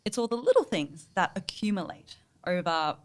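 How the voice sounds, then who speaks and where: A woman's voice: fairly low pitch, lively intonation, normal speed. Emphatic woman coach. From Australia. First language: Vietnamese. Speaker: guest, business coach. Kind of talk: motivational interview answers.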